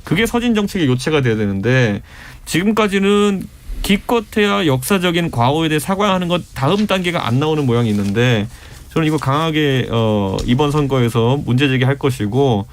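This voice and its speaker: A man in his thirties making a measured, earnest argument as a panelist in a radio studio debate. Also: on the high side, animated, a little hiss or hum. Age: 30s. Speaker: political panelist.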